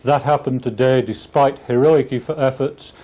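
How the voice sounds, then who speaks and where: Official guy being interviewed, measured, flat intonation, mellow soft-edged voice. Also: mid-range voice. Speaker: Environment Agency official. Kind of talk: formal news interview.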